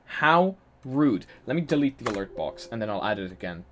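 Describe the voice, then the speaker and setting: A man's voice: mid-range voice, big pitch swings, fairly quick, a little hiss or hum. Chatty Polish-born man streaming live. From Poland. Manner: chatty, laughs often, reads chat aloud. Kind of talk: casual live-stream chat.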